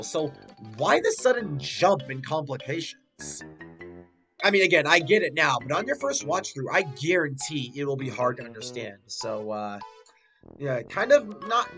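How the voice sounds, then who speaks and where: A man's voice: on the high side, fairly bright tone. A man giving a casual spoken review with a stuffy, congested nose, chatty and full of filler words. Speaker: video reviewer.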